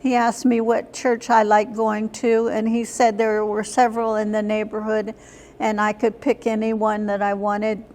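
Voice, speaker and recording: An older woman giving plain, matter-of-fact conversational answers as an interview guest, sometimes halting. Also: mid-range voice, monotone, darkish tone. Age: older, senior. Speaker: interview guest, senior center member.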